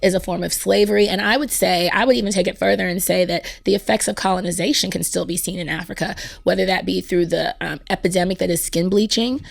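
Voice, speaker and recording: A woman's voice: lowish voice, steady tone, fast, gravelly, few pauses. A middle-aged woman who was born in Nigeria and raised in London and Houston, a guest on a podcast, speaking in an opinionated, passionate way and laughing often. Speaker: guest, anesthesiologist. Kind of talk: casual conversation.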